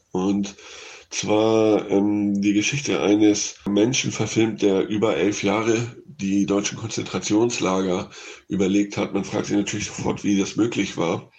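A man's voice: lowish voice, creaky, a little mellow. A man giving an unscripted film review as a radio critic, hesitant and thinking aloud as he goes.

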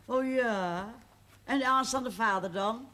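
An actress playing a feisty, witty very old aunt in a television period drama, teasing and cheeky. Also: female voice, a little high, takes it slowly, pushed and bright. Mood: curious, wistful. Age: older.

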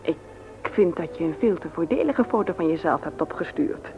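Actress as a frank, flirtatious woman, low-voiced and lively. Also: a little fast, lightly acted. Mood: teasing, flirtatious. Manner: teasing, forthright, arch. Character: flirtatious, frank widowed mother of two on a first date. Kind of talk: radio play acting. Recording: dull old radio recording with noise.

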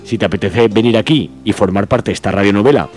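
A man's voice: lowish voice, fast talker, darkish tone. A man hosting a radio show, addressing listeners in an informal, colloquial way. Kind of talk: casual announcement to listeners.

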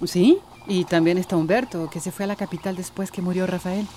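Actress as a mellow, sensible Central American housewife. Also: female voice, on the low side, steady tone, fairly quick, a little hiss or hum, close to an everyday voice. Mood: gentle, reflective. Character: kindly, sensible pregnant daughter-in-law running a household. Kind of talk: radio drama acting.

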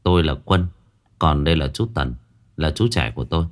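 Male visitor, man narrator acting: deep, polite, measured, formal greeting; storybook audio. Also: animated. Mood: courteous. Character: polite soldier and his courteous uncle, male visitors.

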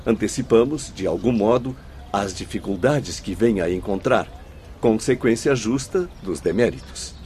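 An actor playing a caring, serene spirit official in a Brazilian radio drama, explaining things formally and deliberately. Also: male voice, fairly low pitch, darkish tone, slight background noise, lightly acted. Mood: cautioning, kindly. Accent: Brazilian Portuguese.

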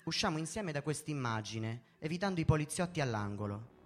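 A male storyteller performs a first-person narrative in a fairly high-pitched voice. His delivery is measured and theatrical, like a staged reading. Music plays softly behind him. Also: lively intonation. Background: background music.

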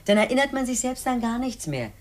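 An actress in a 1950s German radio play, playing an unruffled, evasive housekeeper who soothes and brushes things off colloquially. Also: female voice, a little high, very animated, fairly quick. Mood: dismissive, soothing. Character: unruffled, evasive housekeeper, matter-of-fact and dismissive.

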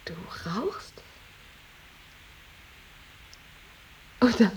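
An actress playing an earnest, devoted unmarried daughter in a German radio drama, speaking in a measured, intense way with weary dignity. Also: female voice, fairly low pitch, low-quality recording. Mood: wry, composed. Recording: radio drama with clear tape hiss.

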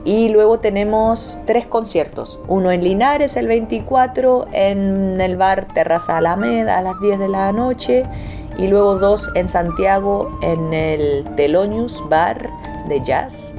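A young woman, a theatre artist speaking as an interview guest in a relaxed, informal way. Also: medium pitch, slow, soft background music. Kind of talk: casual interview talk.

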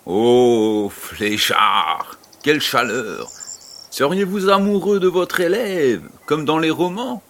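A man's voice: fairly high pitch, animated, takes it slowly, creaky, a bit bright, slight background noise, heavily acted. A man playing a genial, curious aristocratic baron in an audio drama, urbane and a little condescending. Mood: teasing.

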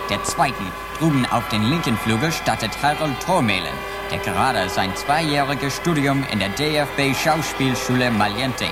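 A man playing an excitable TV football commentator in a radio drama, following the play as it happens. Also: mid-range voice, lightly acted. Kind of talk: live sports commentary in a satirical radio drama.

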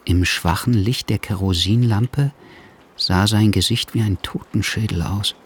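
An actor playing a writer who narrates a literary radio play in a grave, measured, suspenseful manner. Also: male voice, on the low side, heavily acted. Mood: reflective. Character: grave male writer narrating his story. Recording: clear music behind.

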